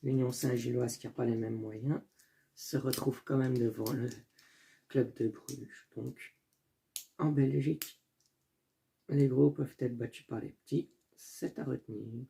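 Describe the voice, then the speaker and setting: A man's voice: mid-range voice, steady tone, a little slow, creaky, pauses often, warm tone, a little hiss or hum. A young man hosting a casual solo football video, thinking aloud and often stopping to correct himself. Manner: talks as he thinks, with restarts and self-corrections; stumbles over names and apologizes.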